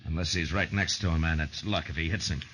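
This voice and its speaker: A man's voice: extremely low pitch, fairly even intonation, quick pace, a bit bright. An American man playing a tough, laconic, plainspoken marshal in an old-time radio western. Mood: steady determination. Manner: terse, plainspoken, unflinching. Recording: muffled, noisy 1950s radio recording.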